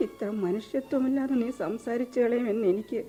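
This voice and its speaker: A woman's voice: a little high, fairly quick, warm tone, faint music behind, lightly acted. An actress playing a reproachful older mother in a radio drama, scolding emphatically in a southern Kerala Malayalam accent. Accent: Kerala Malayalam, southern Travancore. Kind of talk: mid-century Indian radio drama acting.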